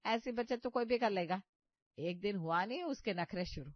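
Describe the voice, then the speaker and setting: A woman's voice: medium pitch, animated, moderate pace, dry recording, close to an everyday voice. A woman acts out a demanding, penny-pinching mother-in-law from a comic cartoon story. She scolds and orders people about. The sound is very dry and a little dull. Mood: scolding. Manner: scolding, bossy. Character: stern, miserly mother-in-law, bossy and demanding.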